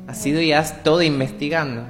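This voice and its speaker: A woman's voice: deep voice, steady tone, a little fast, music in the background. Woman in her twenties, reverberant radio studio. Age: 20s. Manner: open, reflective, personal storytelling. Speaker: guest. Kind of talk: candid radio interview.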